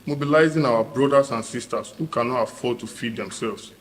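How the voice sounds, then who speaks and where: A man reads a formal protest statement in a grave, measured way. His voice sits at an average pitch. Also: low-quality recording. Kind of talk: public statement.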